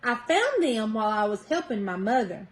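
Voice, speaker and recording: Woman voicing a high, earnest little girl. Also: very animated, moderate pace. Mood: polite, earnest. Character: earnest little girl, polite young visitor.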